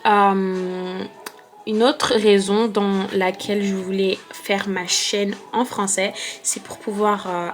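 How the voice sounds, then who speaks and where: A woman in her twenties from France, chatting casually to camera in a vlog. She rambles and fills her talk with hesitations and repeated words. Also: mid-range voice. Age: 20s. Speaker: vlogger.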